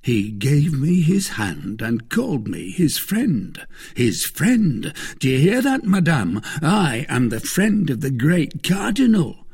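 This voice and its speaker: Man playing a pompous, boastful husband, animated and husky. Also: a little high, slow, voice pushed up, heavily acted. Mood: proud, boastful. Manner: pompous, self-satisfied, emphatic. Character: pompous, boastful, cowardly merchant husband in period novel.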